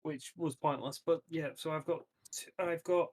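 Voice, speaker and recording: A man speaks as a player at a tabletop game. His pitch is a little higher than average for a man, and his delivery is loose, casual conversation. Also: steady tone, normal speed, very noisy. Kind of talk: casual chat.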